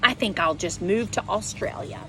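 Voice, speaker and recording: A woman reads a children's story aloud at a medium pitch. She is animated and expressive, leaning on key words and stretching some out. There is some faint noise behind her voice. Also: low-quality recording. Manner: animated, storytelling with emphatic stresses and drawn-out words. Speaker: read-aloud presenter. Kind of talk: expressive children's picture book read-aloud.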